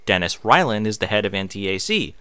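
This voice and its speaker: Male casual reader, bright forward voice, very varied intonation, occasional stumbles. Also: lowish voice, sing-song, fairly quick, clear, resonant tone, dry recording. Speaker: online reader and streamer. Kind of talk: reading aloud with casual off-script asides.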